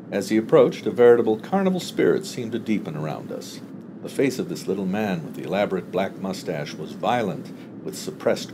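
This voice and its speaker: Very deep-voiced man reading a story expressively. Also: background noise. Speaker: audiobook reader. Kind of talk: expressive literary story narration.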